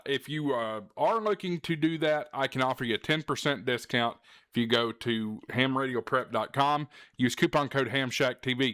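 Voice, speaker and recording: A man's voice: medium pitch, fairly bright tone, clear, resonant tone, no room sound, studio quality. A man hosting a hobby show, talking casually and directly to the listener in an earnest, encouraging way with emphasis on key words. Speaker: host of a ham radio show. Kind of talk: casual direct-to-camera talk.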